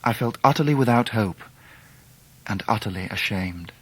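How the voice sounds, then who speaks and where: An actor playing a grown man recalling his boyhood, narrating a literary radio drama in a measured British voice. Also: on the low side, lively intonation, husky, poor audio. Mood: reflective. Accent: British English. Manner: measured, reflective.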